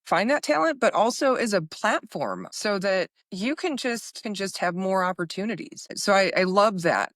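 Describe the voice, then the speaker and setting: A woman's voice: mid-range voice, expressive, normal speed, few pauses, fairly bright tone, dry recording, studio quality. A woman hosting a podcast interview in a casual, agreeable, conversational way. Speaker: podcast host.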